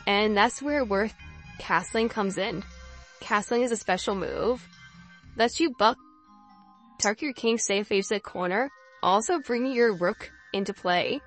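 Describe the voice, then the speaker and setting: A woman's voice: mid-range voice, measured pace, soft background music, close to an everyday voice. A woman voicing a friendly, encouraging schoolgirl who leads a chess class in a visual novel, in an instructive manner. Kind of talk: reading visual novel dialogue aloud.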